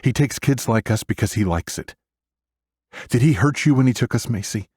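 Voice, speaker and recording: A man's voice: mid-range voice, animated, normal speed, a little mellow, slightly rough, no room sound, studio quality, pitched above the performer's own voice. A man voicing a scared thirteen-year-old boy, urgent and fiercely protective of his sister, in an audiobook. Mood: protective, anxious. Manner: urgent, emotional pleading.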